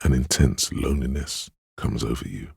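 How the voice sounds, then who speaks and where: A man voicing a game's inner voice of empathy, a gentle, perceptive, sorrowful narrator who speaks in a measured, solemn, intimate way. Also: rumbling low, fairly even intonation, vocal fry, husky, dry recording, clean studio sound, exaggerated voice. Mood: melancholy. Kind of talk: scripted second-person game narration.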